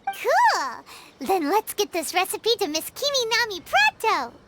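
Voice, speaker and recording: A woman's voice: very high voice, huge pitch swings, a little slow, very noisy, heavily acted. A woman voicing a tiny, bubbly female fairy-like companion in a game cutscene, speaking of herself in the third person. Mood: cheerful. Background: game music.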